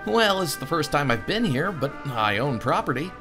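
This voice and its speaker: A man's voice: fairly high pitch, sing-song, normal speed, music in the background. Man voicing an earnest, cheeky young hero.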